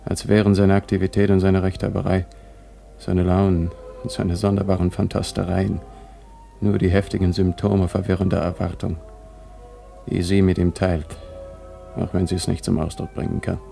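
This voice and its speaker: A self-assured son narrating an old radio drama. The voice is lowish, dark and gravelly, with deliberate, confiding phrasing. Music accompanies the monologue. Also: male voice, level tone. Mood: pensive, puzzled. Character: cocky, reflective adult son narrating his parents' story.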